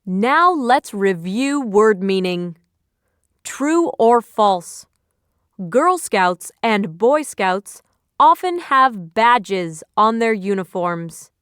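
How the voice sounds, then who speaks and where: A woman reading a scripted educational quiz in measured, instructional phrases, like a teacher. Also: medium pitch, animated, fairly bright tone, clean tone, no room sound, clean studio sound.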